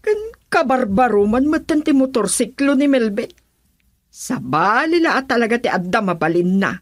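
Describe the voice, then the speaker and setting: A woman's voice: on the high side, animated, normal speed, no echo, studio quality. A woman playing a down-to-earth, scolding relative in an Ilocano radio drama, with an Ilocano Filipino accent. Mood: chiding.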